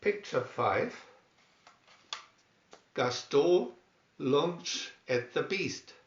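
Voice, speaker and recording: A man reading scripted storybook captions in a deliberate, announcing manner. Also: a little high, expressive. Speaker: narrator reading a picture-reel booklet. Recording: dull, roomy sound.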